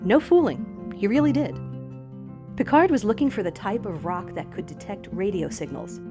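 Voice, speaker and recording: A woman's voice: medium pitch, very animated, moderate pace. A woman reading scripted narration for an educational video, speaking directly to young listeners. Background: background music.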